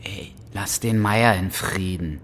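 A man's voice: low-pitched, slow, a bit bright, a little hiss or hum. An actor playing a scornful, taunting working man with a blunt, sneering way of talking in a radio drama. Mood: blunt, defensive. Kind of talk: naturalistic radio drama acting.

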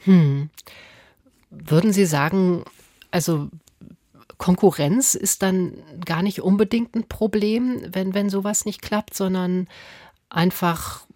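A woman's voice: fairly low pitch, steady tone, takes it slowly, dark tone, no echo, studio quality. A woman interviewer asking conversational questions, phrased tentatively and with care.

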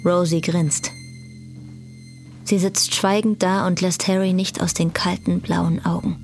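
A woman narrates a radio drama in a lowish, gravelly voice. Her delivery is very slow, cool and deliberate, with music underneath. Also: flat delivery.

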